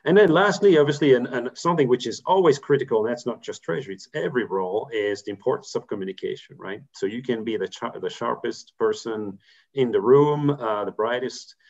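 A man's voice: mid-range voice, brisk, creaky, darkish tone. A man in his fifties from Belgium, a corporate treasurer giving career advice on a video call, talking thoughtfully with many fillers and restarts. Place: home room, indoors. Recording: muffled video call audio.

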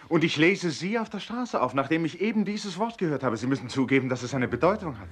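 A man's voice: on the high side, very animated, fast talker, slightly rough, faint music behind. An actor playing a puzzled, wistful painter in a literary radio play, musing and questioning as he speaks. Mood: surprised, sceptical. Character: searching, puzzled male painter and narrator, wistful.

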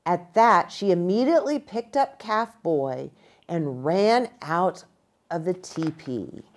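American woman, slow animated storybook reader. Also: lowish voice. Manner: animated, deliberate, pausing within phrases. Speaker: pastor reading to children. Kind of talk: expressive children's storybook read-aloud. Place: small indoor room with bookshelf and armchair.

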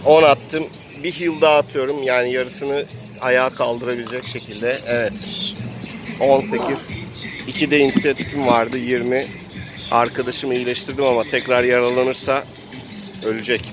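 A man's voice: mid-range voice, steady tone, normal speed, over music, poor audio. A man casually improvising narration as he plays a role-playing game.

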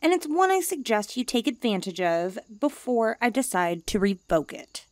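A woman's voice: fairly high pitch, expressive, moderate pace, dry recording, studio quality, lightly acted. A woman playing a haughty, needy young stray catgirl, blunt and cutesy, in an ASMR comfort roleplay. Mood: haughty, inviting.

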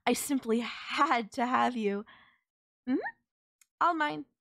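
A woman's voice: on the high side, slow pace, vocal fry, a bit bright, no echo, studio quality, heavily acted. A woman playing a sultry, possessive kidnapper who gloats over and coaxes a captive in an intimate audio roleplay. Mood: gloating, possessive. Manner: teasing, coaxing, controlling.

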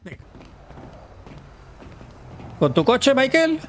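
A man playing an eager, jealous press officer in an improvised online game roleplay, excitable, fawning and repeating himself. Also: extremely high pitch, very slow, slight background noise, full character voice. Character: eager, flustered male press officer named José Luis, jealous suitor.

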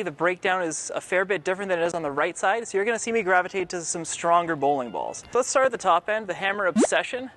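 A man's voice: sits high, fairly bright tone. A young man in a bowling alley methodically explaining each ball in his lineup, speaking through a lapel mic. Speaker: pro shop bowler presenting his arsenal. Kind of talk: scripted equipment walkthrough.

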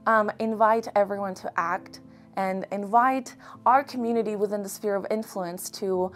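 A young woman from Ukraine answering interview questions earnestly, choosing her words with measured, hesitant pauses. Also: mid-range voice, steady tone. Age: young adult. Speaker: interview guest. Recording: music behind the voice. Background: background music.

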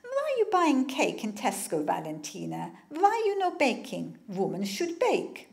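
A woman's voice: fairly high pitch, huge pitch swings, measured pace, creaky, darkish tone, heavily acted. A woman doing a scolding old husband. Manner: blunt, scolding broken English. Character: quarrelsome older Ukrainian husband.

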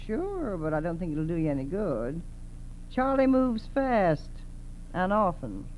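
A woman's voice: fairly low pitch, big pitch swings, unhurried, noisy background. A woman playing a fugitive's breezy, unbothered acquaintance in 1940s radio style. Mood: breezy, knowing. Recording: humming old radio recording.

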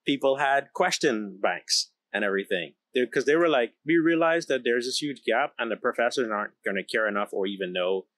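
A man's voice: medium pitch, lively intonation, brisk, few pauses, a bit bright, dry recording. A man in his thirties from the Bahamas, telling his story candidly and emphatically in an interview on a close microphone. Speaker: interview guest, company founder and engineer. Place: large indoor electronics production floor, seated. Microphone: close podcast microphone on boom arm.

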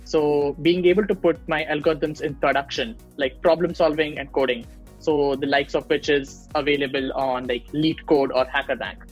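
A man's voice: on the high side, fairly even intonation, moderate pace, breathy edge, muffled recording, faint music behind. A young man from India, explaining his field on a video call with many fillers and restarts, recorded on earbuds in a small room at home. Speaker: machine learning engineer guest. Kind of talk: casual interview on a video call.

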